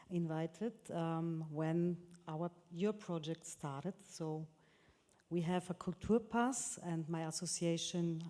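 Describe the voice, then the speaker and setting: A woman from Austria introduces her association, pausing with fillers. Her voice is lowish and mellow, and a long hall reverb trails each phrase. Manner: hesitant, with fillers. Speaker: panelist.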